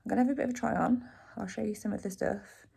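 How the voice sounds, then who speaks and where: A woman in her forties, hoarse from a cold, chatting casually in a home vlog recorded on her phone, rambling with plenty of ums and likes. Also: medium pitch, fairly even intonation, quick pace, mellow. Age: 40s. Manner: rambling, self-deprecating, many ums and likes. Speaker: vlogger. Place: living room at home. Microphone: phone camera.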